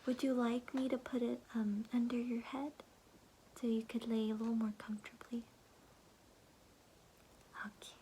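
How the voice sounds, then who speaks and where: A woman's voice: on the high side, little pitch movement, normal speed, long pauses, warm tone, a little hiss or hum, natural voice, rough recording. A young woman voicing a kindly, slightly clueless old grandmother in a whispered, soothing ASMR roleplay, fussing with folksy endearments, close to the microphone. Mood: caring, gentle.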